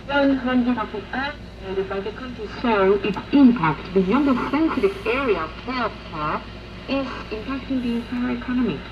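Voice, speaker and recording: A woman's voice: a little high, lively intonation, moderate pace. A woman talking on the radio, heard through dull, noisy shortwave reception. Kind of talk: radio talk.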